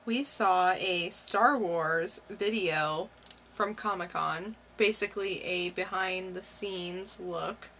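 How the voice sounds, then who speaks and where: A woman's voice: lowish voice, slow pace, pushed and bright, a little hiss or hum. A woman co-hosting a podcast in a casual, conversational style. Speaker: podcast co-host. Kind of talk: casual chat.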